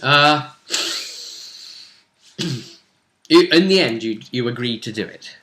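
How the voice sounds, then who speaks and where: A man with a very bright, forward voice talks as the game master of a tabletop role-playing game. His pitch sits in an ordinary male range. He recaps the session casually, rambling along and acting out bits of dialogue as he tells it. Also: expressive, very slow, a little hiss or hum. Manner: rambling, acts out characters' lines as he recounts.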